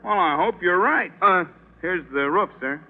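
An actor playing a weary, plain-spoken working-class elevator operator in a 1940s radio play. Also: male voice, sits high, lively intonation, normal speed, narrow, tinny audio. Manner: plain-spoken, casual slang. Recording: scratchy old radio broadcast.

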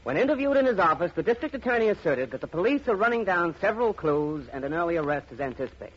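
An actor playing a pushy, wry newspaper reporter with a clipped delivery in a radio drama. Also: male voice, high voice, very animated, quick pace, slightly rough, heavily acted. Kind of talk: 1950s radio drama acting. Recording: muffled, hissy old radio recording.

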